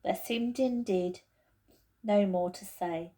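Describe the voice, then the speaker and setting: Unhurried woman reading a children's story. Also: medium pitch. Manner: deliberate, expressive reading. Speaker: teacher reading to pupils. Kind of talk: children's storybook read aloud.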